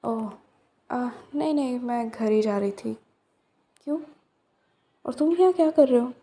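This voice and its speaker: Slow gravelly-voiced woman in soft roleplay. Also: on the high side, lively intonation. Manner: gentle, teasing, soft-spoken with breathy whispered asides; plays a young woman who runs a flower shop. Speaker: voice actress in a listener roleplay. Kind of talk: ASMR roleplay, one side of a conversation.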